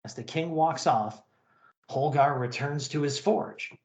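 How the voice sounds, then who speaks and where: A man's voice: on the high side, measured pace, a little mellow, echoey room. A man co-hosting a comic-book podcast, narrating and commenting. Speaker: podcast co-host.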